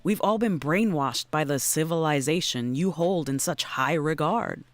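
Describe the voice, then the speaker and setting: A woman's voice: sits low, animated, moderate pace, dry recording. A woman playing a laid-back, cynical drug dealer in an audio drama, speaking in slangy, blunt, teasing language. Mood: wry, philosophical. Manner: slangy, drawling, blunt, philosophizing. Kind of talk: naturalistic audio drama acting.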